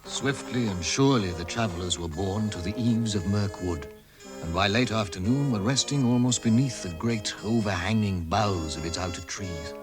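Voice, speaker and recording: An actor as a solemn storyteller, giving scripted narration in a vintage radio drama. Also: male voice, lowish voice, normal speed, over music, natural voice.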